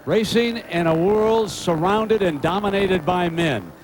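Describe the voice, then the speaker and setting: A man narrating a pre-race broadcast feature in a measured way. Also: high voice, background noise. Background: music.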